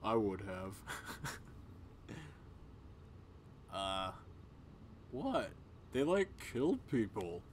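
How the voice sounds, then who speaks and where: A man reading video game dialogue aloud in a casual, expressive way. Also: on the low side, very slow, long pauses, a little hiss or hum. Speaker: game player voicing characters.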